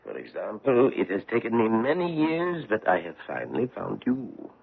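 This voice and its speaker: An actor playing a menacing foreign investigator with a European accent, speaking formally and deliberately in a radio mystery drama. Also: male voice, fairly low pitch, huge pitch swings, gravelly, slightly rough, heavily acted. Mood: sly, probing. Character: menacing foreign government investigator hunting a woman from his homeland. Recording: muffled narrow-band old radio broadcast.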